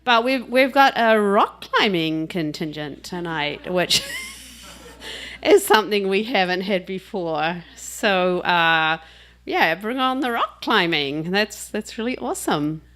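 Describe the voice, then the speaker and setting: A woman's voice: mid-range voice, animated, slow pace, fairly bright tone. A woman with a New Zealand accent giving a chatty, jokey introduction at a live reading. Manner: chatty and jokey, laughing between remarks. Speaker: host introducing a reader.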